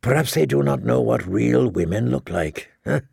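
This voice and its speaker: A man voicing a cocky, cruel highborn knight with a mocking, sneering drawl for an audiobook. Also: animated, normal speed, creaky, darkish tone, slightly rough, no room sound, clean studio sound, full character voice.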